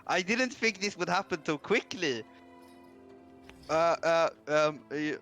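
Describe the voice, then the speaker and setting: A man playing a tabletop game, talking casually and getting flustered and excited. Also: on the high side, unhurried, faint music behind. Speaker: player. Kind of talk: casual chat.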